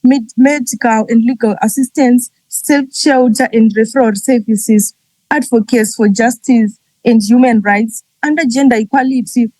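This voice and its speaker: A woman on a panel running briskly through a list of her organization's programs. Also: on the high side, steady tone, mellow, dry recording, rough recording. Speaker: panel guest, nonprofit founder. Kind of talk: summarizing her program's work.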